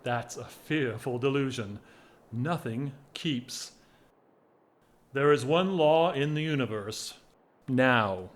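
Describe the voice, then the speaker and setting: A man acting a whimsical, restless gentleman in an audio drama, with theatrical, wordy, teasing formality. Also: mid-range voice, slow, pauses often, close to an everyday voice. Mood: restless, wistful. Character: whimsical, restless English gentleman, an aristocrat in love.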